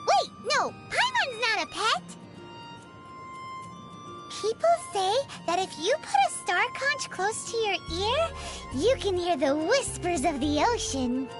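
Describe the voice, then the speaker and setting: Squeaky, very high-pitched tiny girl companion. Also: big pitch swings, takes it slowly, heavily acted. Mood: playful. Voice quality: squeaky, childlike. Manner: speaks of herself in third person, exclamatory. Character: tiny childlike floating girl companion, gluttonous, excitable. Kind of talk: video game voice acting. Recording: music behind the voice.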